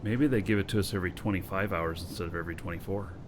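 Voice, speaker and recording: A man hosting a game livestream, reading viewer questions and giving practical answers in a relaxed way. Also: low-pitched, rapid-fire, noisy background. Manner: relaxed, practical, offering suggestions. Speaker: stream host.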